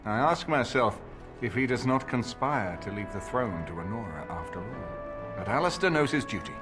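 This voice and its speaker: An actor playing a dignified, thoughtful older nobleman, measured and earnest. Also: mid-range voice, expressive, over music, lightly acted. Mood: uneasy. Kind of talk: fantasy game dialogue.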